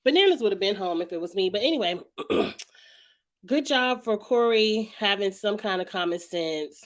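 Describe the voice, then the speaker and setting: A woman hosting her own vlog, talking casually and frankly about a reality show with a raspy, hoarse voice and frequent throat-clearing. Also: medium pitch, lively intonation, moderate pace. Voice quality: raspy, heavy voice. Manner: chatty, frank, greets live viewers, clears throat often. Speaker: vlog host. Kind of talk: casual reality-TV commentary chat.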